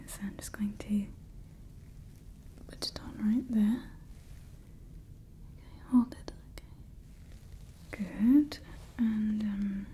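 A woman voicing a scheming female evil scientist who calls herself Mother, in a slow, soft, conspiratorial whisper for ASMR roleplay on a close microphone. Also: medium pitch, a little hiss or hum, close to an everyday voice. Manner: slow, intimate, conspiratorial ranting. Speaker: ASMR creator.

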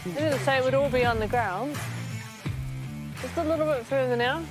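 A woman's voice: high-pitched, moderate pace, rough recording. Upbeat chef woman from New Zealand. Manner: upbeat, encouraging, dry humor. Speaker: chef guide. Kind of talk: casual on-location chat. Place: outdoors in dense forest. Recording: music underneath.

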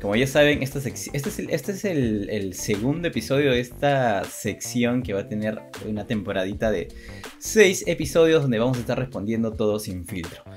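A man's voice: medium pitch, lively intonation, moderate pace. A young man co-hosting a channel, talking in casual unscripted chat. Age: young adult. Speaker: co-host of a two-person channel. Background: background music.

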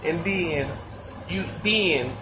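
A woman's voice: lowish voice, extremely slow, noisy background. A woman in a video clip, ranting in a blunt, defiant way with a lot of cursing. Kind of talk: blunt casual rant.

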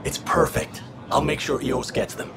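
A man's voice: a little high, moderate pace, gravelly, background noise. A man playing a helpful, businesslike outpost supply officer in a video game, matter-of-fact in manner. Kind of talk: video game dialogue acting.

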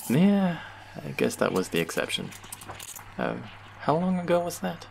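A man's voice: a little high, sing-song, a little slow, vocal fry, a little hiss or hum, exaggerated voice. A man doing a teasing young barista. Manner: playful, teasing, chatty. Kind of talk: audio roleplay for a listener.

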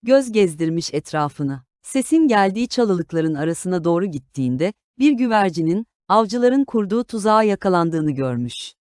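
A woman reading a scripted fairy tale for children as an expressive storyteller. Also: medium pitch, clear, resonant tone, no echo, clean studio sound.

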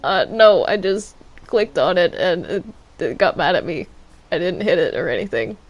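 Animated, stammering gamer in casual roleplay. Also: medium pitch, normal speed, low-quality recording. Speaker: online game roleplayer. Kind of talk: casual in-character roleplay chat while gaming.

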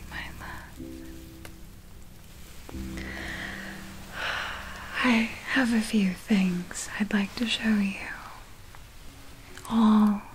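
An ancient vampire woman acted by a female performer whispers intimately in an ASMR roleplay, with clear music playing underneath. Her delivery is extremely slow and deliberate. She is soothing, seductive and doting, with a controlling, possessive edge. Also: fairly high pitch. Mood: adoring, intimate. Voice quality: breathy whisper.

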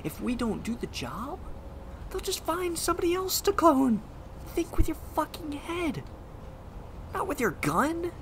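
A woman's voice: high voice, sing-song, unhurried, slight background noise, heavily acted. A woman acting in an audio drama as a stammering, exasperated, slangy, foul-mouthed superpowered slacker who follows the rules reluctantly. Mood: exasperated, defiant.

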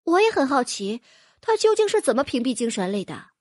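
A woman voicing a clever young girl heroine who explains things calmly. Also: sits high, brisk, dry recording, studio quality. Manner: calm, explaining.

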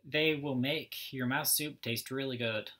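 A man's voice: mid-range voice, fairly even intonation, unhurried, noisy background, lightly acted. A man voicing a clever small male mouse in a children's storybook, confident and persuasive.

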